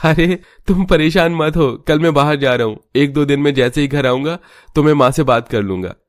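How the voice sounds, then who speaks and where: A man's voice: fairly high pitch, normal speed, no room sound, clean studio sound, voice pushed up, lightly acted. A man voicing a soothing, reassuring husband in a cartoon family story. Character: soothing husband reassuring his upset wife.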